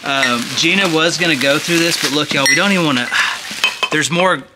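A man in his thirties hosting a casual storage-unit vlog, chatty and excited, talking straight to viewers as he digs through boxes in a storage hallway. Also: on the high side, normal speed, very noisy. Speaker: storage unit treasure hunter host. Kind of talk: casual vlog unboxing chat. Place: indoor self-storage hallway.

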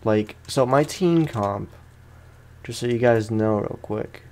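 A man's voice: on the low side, slow, darkish tone. A man giving casual, rambling let's-play commentary with informal slang, chatting to viewers as he plays. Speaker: gaming content creator, let's play host. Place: room at home.

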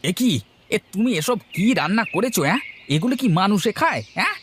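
A man voicing an exasperated village husband in a cartoon, given to scolding and sharp questioning interjections. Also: sits high, very animated, quick pace, slight background noise. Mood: disgusted, scolding. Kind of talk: cartoon voice acting.

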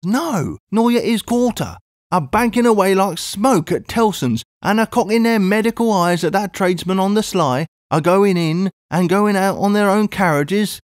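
A man's voice: sits high, normal speed, dry recording, studio quality, pitched above the performer's own voice, close to an everyday voice. A man voicing a pleading, evasive working-class Londoner who rambles in thick dialect. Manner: wheedling, rambling, dialect-heavy. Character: cockney odd-job man, pleading, evasive.

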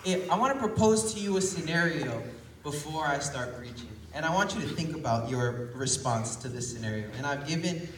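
A young man preaching a casual sermon in a small church, his voice hoarse from illness, recorded from the pews. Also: on the high side, a little fast, a little hiss or hum. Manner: relaxed and conversational, rising with emphasis. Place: small church hall with congregation. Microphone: phone recording from the pews. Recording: echoey church hall from far off.

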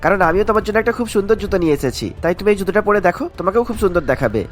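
A man's voice: high voice, lively intonation, rapid-fire. A man voicing a doting young husband in a cartoon, reassuring and coaxing as he talks. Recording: music bed behind the voice.

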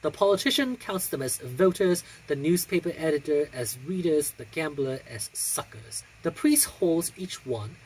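A man with a husky voice and a theatrical, deliberate delivery, speaking indoors into a phone a short distance away. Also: on the high side, lively intonation, a little mellow, slight background noise. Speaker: drag performer and reader. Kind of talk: casual vlog chat and expressive poetry reading. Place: small room indoors. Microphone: phone on a stand a short distance away.

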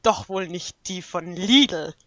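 A woman voicing a bossy, sharp-tongued cartoon pony princess in a fan audio drama, with dry, teasing sarcasm. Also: lowish voice, slow, no echo. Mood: dry, probing.

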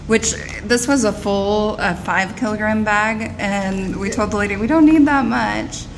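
A woman's voice: medium pitch, measured pace, a little hiss or hum, poor audio. A woman co-hosting a casual travel vlog, chatty and adding details, in an apartment room. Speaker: travel vlogger, co-host. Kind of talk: casual chat.

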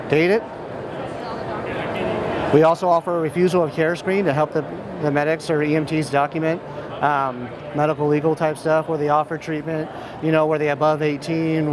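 An American man in his thirties giving an informal product demo, explaining step by step in a matter-of-fact way. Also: fairly high pitch. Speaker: software company representative giving a product demonstration. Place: large indoor expo hall. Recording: noisy busy trade show floor. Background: clear ambient hall noise.

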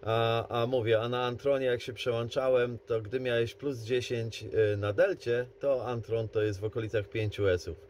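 A man with a mid-range voice talks as a radio operator. He explains in a casual, halting manner, with slight noise behind him. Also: mid-range voice, steady tone, darkish tone. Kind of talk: casual two-way radio chat.

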